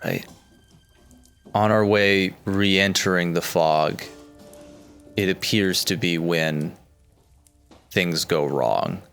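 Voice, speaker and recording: A man player at a tabletop game, speaking in character in a halting way with ums. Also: low-pitched, a bit bright, clean tone, soft background music. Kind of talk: casual in-character play.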